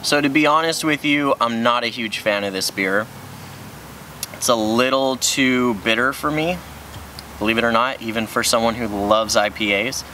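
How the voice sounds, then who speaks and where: Bright-voiced man giving frank beer opinions. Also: medium pitch, normal speed, slight background noise. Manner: frank, matter-of-fact opinions. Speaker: beer review co-host. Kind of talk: casual beer tasting review. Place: outdoor brewery patio.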